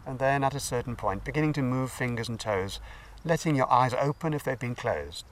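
A man leading a guided meditation as a teacher, giving gentle, unhurried instructions with pauses. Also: medium pitch, lively intonation. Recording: distant mic, faint background noise.

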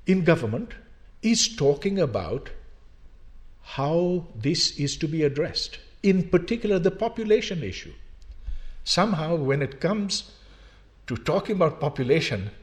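Indignant older male lecturer, animated pitch. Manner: emphatic, indignant, rhetorical questions. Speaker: university professor. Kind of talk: public lecture.

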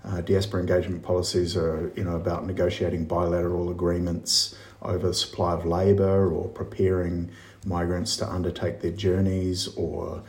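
A New Zealand man with a very low voice answers interview questions in an explanatory, friendly way, filling pauses with "uh". His voice sounds echoey, as if recorded in a reverberant room. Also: level tone, darkish tone. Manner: friendly, lots of "uh" fillers. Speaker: professor, guest expert.

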